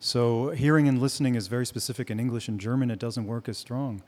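A man's voice: medium pitch, fairly even intonation, fast, darkish tone. A man whose first language is Hebrew, speaking English on a panel in a searching, academic way with many restarts. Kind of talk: reflective panel discussion. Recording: long hall reverb.

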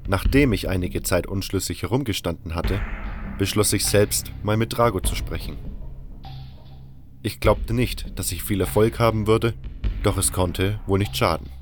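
A man narrating a fan fantasy audio drama in the first person, in a calm, low-key manner. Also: normal speed. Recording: long hall reverb, faint music.

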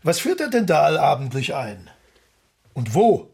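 An actor in a German radio drama playing an irascible, wounded music director craving respect, in a volatile, imperious and sardonic manner. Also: male voice, fairly high pitch, huge pitch swings, unhurried, gravelly, a little mellow, slightly rough, heavily acted. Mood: curious, amused.

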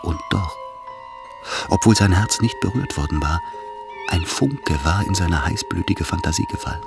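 A man narrating a period radio drama in a grave, measured literary manner. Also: sits low, very animated, creaky, heavily acted. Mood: grave, reflective. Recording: music underneath the voice. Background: music.

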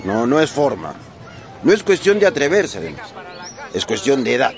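An actor playing an indignant, loyal supporter, protesting in disbelief in a radio drama. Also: male voice, mid-range voice, big pitch swings, normal speed. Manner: incredulous, protesting. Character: indignant, loyal defender of an old fighting cock. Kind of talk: Spanish-language radio drama acting.

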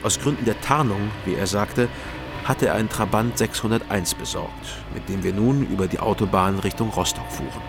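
An actor narrating a crime drama in the first person, in a calm, measured way. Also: male voice, on the low side, vocal fry, background noise. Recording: music playing behind.

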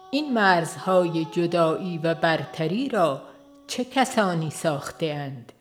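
Woman, lower-pitched voice, audiobook narrator, formal literary reading, measured delivery, music in the background. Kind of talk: scripted narration of a religious book.

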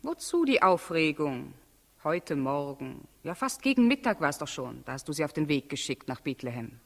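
An actor playing a level-headed royal adviser in a 1960s radio drama, reasoning and admonishing. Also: male voice, fairly high pitch, sing-song, normal speed, gravelly. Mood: reasoning, soothing. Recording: 1960s radio recording, long hall reverb.

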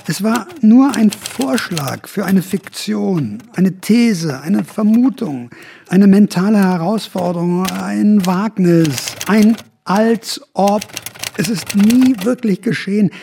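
A man's voice: high voice, lively intonation, slow pace, gravelly, mellow, a little hiss or hum, exaggerated voice. A man in a radio drama playing an aging, paranoid, defiant storyteller who rambles with dramatic emphasis. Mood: brooding, defensive. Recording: music behind the voice.

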